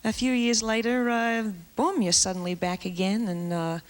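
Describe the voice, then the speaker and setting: A woman from Australia with a low alto voice, hosting a TV music show and chatting eagerly with a guest, full of fillers and restarts. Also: little pitch movement, normal speed. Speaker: host. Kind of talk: casual on-stage chat with a guest. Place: TV studio stage. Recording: 1970s TV broadcast with loud band music. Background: loud band music.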